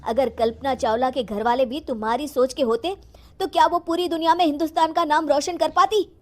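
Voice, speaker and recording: A protective, principled dad from a storybook tale , played by a woman. The voice is high and emphatic, speaking up earnestly for a child. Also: fairly even intonation, a little fast, a little mellow, natural voice. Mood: indignant. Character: firm, protective father standing up for his daughter.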